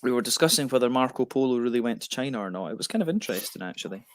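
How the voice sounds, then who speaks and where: A man's voice: medium pitch, animated, very fast, a little hiss or hum. A man co-hosting a casual beer livestream, joking around, laughing often and reading out viewer comments, sounding tired. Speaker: co-host of a beer review livestream.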